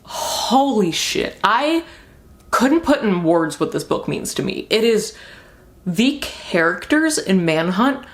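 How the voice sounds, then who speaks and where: A woman's voice: mid-range voice, very animated, slow pace. A young woman giving a casual, rambling book review as a vlogger, speaking candidly and informally with emphatic repeated words.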